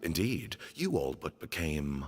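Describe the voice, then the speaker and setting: Old stern desert order boss in a game, super deep n rough, solemn grudge holder. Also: male voice, sing-song, a little slow, faint music behind, exaggerated voice. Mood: grave, accusing. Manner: solemn, grave, accusatory oratory. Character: stern, grudge-bearing male leader of a secretive desert temple order. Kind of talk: scripted video game voice acting.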